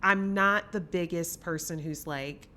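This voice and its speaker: A woman's voice: on the low side, unhurried, bright, forceful tone, a little hiss or hum. A woman co-hosting a film podcast, giving opinionated, emphatic takes in casual conversation.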